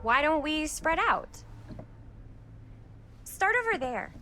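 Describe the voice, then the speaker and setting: Lively, bright-voiced teenage girl. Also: sits high, takes it slowly, slight background noise, full character voice. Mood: casual, curious. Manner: breezy, teasing, sarcastic. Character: bold, wry teenage girl making an amateur film. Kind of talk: naturalistic video game acting.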